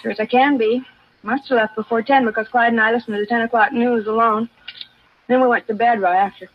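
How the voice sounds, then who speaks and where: A woman's voice: on the high side, fairly even intonation, a little fast, natural voice. A woman playing a chatty, easygoing American food-stand keeper in a 1950s radio drama, casual and folksy. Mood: concerned. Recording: muffled vintage radio, faint noise.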